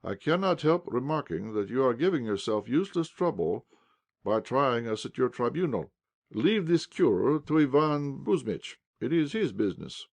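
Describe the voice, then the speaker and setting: A man's voice: medium pitch, very animated, moderate pace, vocal fry, a little mellow, pitched above the performer's own voice. A man voicing an insolent young officer who speaks coolly and with a sneer. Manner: cool, sneering.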